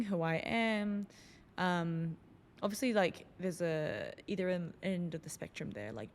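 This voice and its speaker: A woman's voice: on the low side, vocal fry, long reverb tail, a little hiss or hum. A woman hosting a solo podcast, talking in a loose, reflective way with lots of fillers. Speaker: podcast host.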